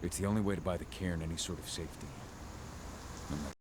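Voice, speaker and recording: An actor playing a gruff, terse, brooding werewolf father in a video game cutscene, protective and intense. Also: low-pitched, fast, natural voice. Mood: grim, determined. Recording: loud background noise.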